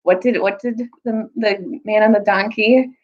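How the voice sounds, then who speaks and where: A woman reads and retells a children's story in a teacherly, expressive way. Her voice sits at a middle pitch. The recording is a little dull and lo-fi. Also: a little slow. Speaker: teacher reading aloud to students. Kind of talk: storytime read-aloud with recap.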